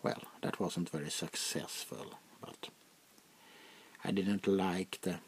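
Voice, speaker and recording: Guy doing an old french vampire prince for asmr, real slow n gravelly, whispery, snooty and menacing. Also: low-pitched, steady tone, slight background noise. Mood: brooding, wary. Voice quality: gravelly, breathy half-whisper. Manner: slow, deliberate, condescending, drawn-out pauses. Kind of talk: soft-spoken ASMR roleplay, half-whispered.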